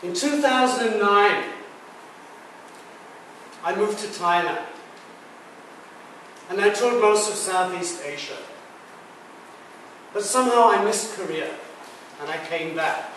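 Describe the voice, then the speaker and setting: Older british guy giving a talk, highish voice, jokey, far off in a boomy room. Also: slow, pauses often, a bit bright, heavy reverb, slight background noise. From Britain. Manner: jokey, chatty with the audience. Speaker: guest speaker. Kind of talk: public talk. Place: large echoey lecture room. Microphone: far camera mic.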